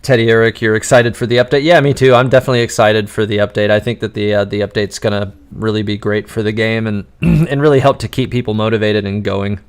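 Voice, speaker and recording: A man hosting a live-stream Q&A, answering chat questions in an exuberant, radio-like way and enunciating words crisply despite quick restarts. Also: fairly low pitch, fairly even intonation. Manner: talks fast with frequent restarts and laughs.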